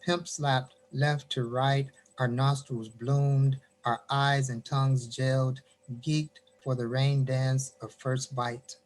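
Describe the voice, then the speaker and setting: A man's voice: mid-range voice, soft background music, poor audio. A man from Indiana, a poet, reading his poem and then chatting about his writing over a video call. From Gary, Indiana. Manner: animated storyteller, quick bursts. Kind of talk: poetry reading, then casual chat.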